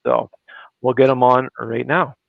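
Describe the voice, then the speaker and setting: A man hosting a livestream, chatting in a relaxed, loose way. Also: medium pitch, a little slow, gravelly, no echo. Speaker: host. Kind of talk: casual livestream chat. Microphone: video call. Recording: dull lo-fi call audio.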